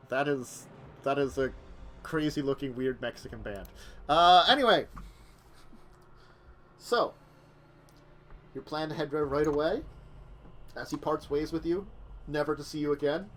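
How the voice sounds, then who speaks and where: Guy gm rambling thru a vampire game, slow talker, faint keyboard clicks behind. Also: on the high side, a bit bright, a little hiss or hum. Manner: casual, rambling, many fillers. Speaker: game master. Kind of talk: narrating a tabletop vampire game.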